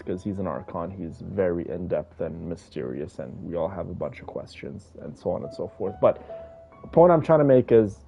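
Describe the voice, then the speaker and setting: A man's voice: fairly low pitch, lo-fi sound. A man giving casual, rambling, sentimental commentary as a game streamer.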